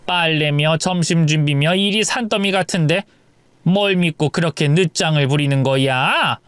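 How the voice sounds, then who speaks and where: A man's voice: on the high side, normal speed, a bit bright, smooth, no room sound, natural voice. A man voicing a harsh scolding mother-in-law. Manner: nagging, contemptuous.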